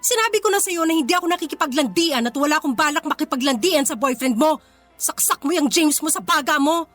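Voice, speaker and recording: High-voiced proud young heroine. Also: female voice, animated, fast talker, fairly bright tone, faint music behind. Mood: indignant, defiant. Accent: Filipino. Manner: emotional, earnest, defiant. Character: proud, wounded young poor working woman, melodrama heroine. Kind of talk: Filipino radio drama acting.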